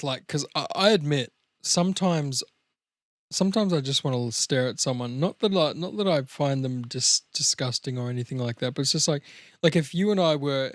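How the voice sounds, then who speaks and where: A man's voice: medium pitch, no echo. A man co-hosting a podcast in casual conversation, blunt and jokey, with some swearing. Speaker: podcast co-host. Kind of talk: casual chat.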